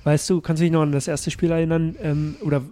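A man's voice: a little high, flat delivery, quick pace, mellow, a little hiss or hum. A man hosting a club news podcast, upbeat and chatty, thanking guests and reading notices. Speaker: podcast host.

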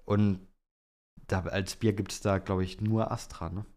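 A man's voice: low voice, little pitch movement, a little slow, darkish tone. A young man chatting casually and colloquially as a podcast co-host. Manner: colloquial, slangy. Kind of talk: casual chat about Formula One.

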